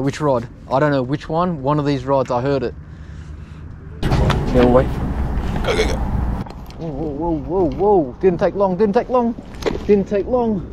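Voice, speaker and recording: A young man commentating his own fishing live from an outdoor wharf at night, chatty and loudly excited when fish bite. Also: on the high side. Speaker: fishing vlogger. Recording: outdoor night recording with background noise.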